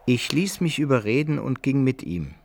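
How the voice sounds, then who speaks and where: An actor playing an adventurer who recounts his brawls and travels in measured, old-fashioned literary radio drama narration. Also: medium pitch, very animated, slight background noise. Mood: measured recounting.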